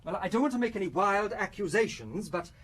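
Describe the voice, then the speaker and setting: An actor playing a worried, fragile husband in an audio drama, speaking in a hesitant, halting, self-justifying way. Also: male voice, high voice, expressive, a bit bright, husky, exaggerated voice. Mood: anxious, confiding. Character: worried fragile husband who suspects his wife of poisoning.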